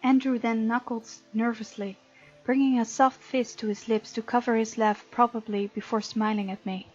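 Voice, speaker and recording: Playful high-voiced woman doing a let's play. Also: flat delivery, normal speed. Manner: playful, reacts out loud to characters. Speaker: gaming video host. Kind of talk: let's play, reading game text aloud with casual reactions. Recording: slightly dull sound, music behind. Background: game music.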